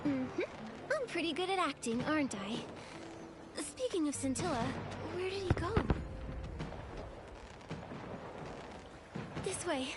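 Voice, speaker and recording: Young heroine, video game cutscene, very high, gentle, sincere, resolute. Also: female voice, animated, moderate pace, over loud music. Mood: playful. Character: kind, resolute young heroine who comforts friends.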